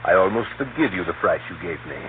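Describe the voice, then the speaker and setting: An actor playing a genial, easily flustered old English gentleman, bumbling and affable, in 1930s radio drama style. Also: low-pitched, animated, fast talker. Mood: amused. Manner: bumbling, affable, protesting innocence. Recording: scratchy, muffled 1930s radio broadcast with hum.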